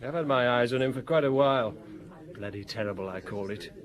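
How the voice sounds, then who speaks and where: An actor playing a nosy, opinionated bar owner in a radio drama, chatty, blunt and emphatic. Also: male voice, mid-range voice, fairly quick, lightly acted. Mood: nosy, gossipy.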